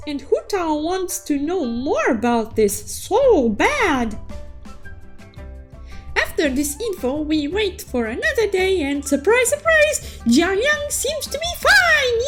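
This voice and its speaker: Very high squeaky pitch, cute unicorn narrator, bubbly, dramatic, unhurried, animated story recap, music behind. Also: female voice, full character voice. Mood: excited, dramatic. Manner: playful, theatrical exclamations. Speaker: storytelling host.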